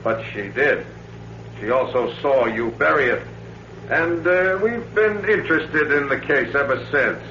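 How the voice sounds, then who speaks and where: A man's voice: medium pitch, lively intonation, a little slow, sharp tone. A man playing a shrewd American police captain in a 1940s radio thriller, folksy in manner but insinuating and pressing. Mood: wry, needling. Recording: roomy, dull vintage radio recording, faint noise.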